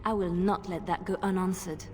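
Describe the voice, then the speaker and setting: A woman's voice: fairly low pitch, fairly even intonation, moderate pace, natural voice. An actress playing a young woman rogue who has been wronged and wants revenge, in a video game. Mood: resolute, guarded. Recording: long hall reverb, music behind.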